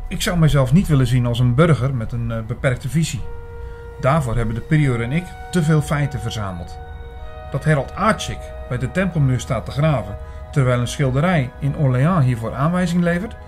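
An actor in a radio drama playing an earnest investigator who argues insistently and with literary phrasing for his dark convictions. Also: medium pitch, lively intonation, normal speed, faint music behind, close to an everyday voice. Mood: defensive, convinced. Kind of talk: radio drama narration and dialogue.

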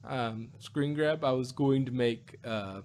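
A man casually discussing an audio drama as a review host, in a halting, rambling way. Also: medium pitch, a little mellow, slight background noise.

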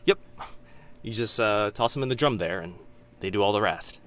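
Man acting as low-voiced teasing young telepath boyfriend. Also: expressive, normal speed, slight background noise. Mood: friendly, awkward. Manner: hesitant, chatty, soft asides. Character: teasing, kind-hearted young male telepath at a laundromat. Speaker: voice actor in audio roleplay. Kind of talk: boyfriend ASMR roleplay.

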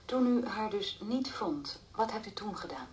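An actress playing a calm, methodical police interrogator in a radio play, asking measured, probing questions. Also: female voice, mid-range voice, lightly acted. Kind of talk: Dutch radio play acting, naturalistic. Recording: old radio play recording, faint hiss.

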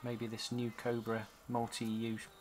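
A man giving a casual, halting walkthrough as a hobbyist showing off his gear. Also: medium pitch, completely flat delivery. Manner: halting, pausing between short phrases. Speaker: CB radio hobbyist presenting a product demo. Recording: home camcorder with noticeable background noise.

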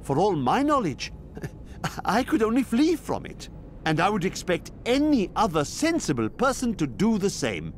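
An actor playing an old male scholar who narrates dark lore in a game, slow and grave. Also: sits high, huge pitch swings, slight background noise, heavily acted. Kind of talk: game voice acting.

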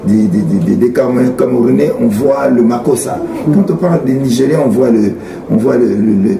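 A middle-aged man from Congo, answering interview questions in a halting, earnest way with many fillers and repeats. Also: medium pitch, vocal fry, crowd noise. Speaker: singer guest. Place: small indoor studio room. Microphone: handheld mic on a stand. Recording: roomy sound with ambient noise.

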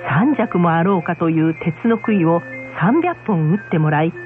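A woman's voice: fairly low pitch, lively intonation, normal speed, music in the background. A woman narrator reading a scripted folktale in storytelling style. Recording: muffled lo-fi radio sound.

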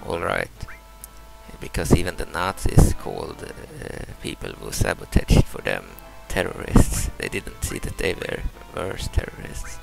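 A man in his thirties from Sweden, a native Swedish speaker, giving casual commentary over a video game, halting and restarting, explaining each move. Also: deep voice, sing-song, vocal fry, loud music behind the voice, low-quality recording. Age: 30s. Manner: halting, repeats words and restarts, explains moves step by step. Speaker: gameplay commentator. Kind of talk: casual let's-play commentary.

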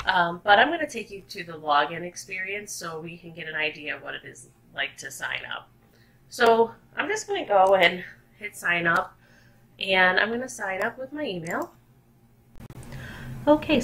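A woman with a lowish voice talks through an unscripted computer tutorial in a chatty, upbeat way, often pausing on fillers and restarting her sentences. A faint hiss of noise sits behind her. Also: a bit bright. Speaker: tutorial presenter, company co-founder. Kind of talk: unscripted screen-recorded tutorial.

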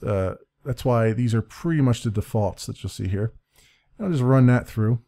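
A man with a deep voice giving a casual screen-recorded software tutorial at his computer desk, rambling, correcting himself and laughing a little. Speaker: let's play creator explaining his audio setup. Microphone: desk-mounted condenser mic with pop filter.

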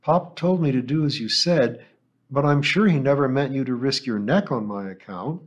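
A man's voice: medium pitch, normal speed, pitched above the performer's own voice, natural voice. A man doing an earnest, polite teenage boy. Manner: respectful, earnest.

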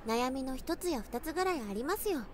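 A woman playing a polite, earnest teenage high school girl in an amateur audio drama, speaking in courteous, formal phrasing. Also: lively intonation, a little fast, a little hiss or hum. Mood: modest, hesitant.

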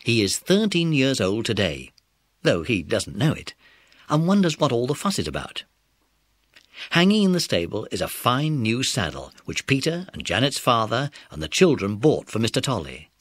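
Animated male storyteller, dry sound. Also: mid-range voice, normal speed, dry recording. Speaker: narrator. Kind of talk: scripted children's story narration.

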